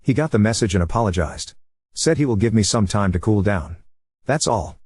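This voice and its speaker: A man reading a forum post aloud in a brisk, matter-of-fact way. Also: on the low side, expressive, darkish tone, breathy edge, no echo.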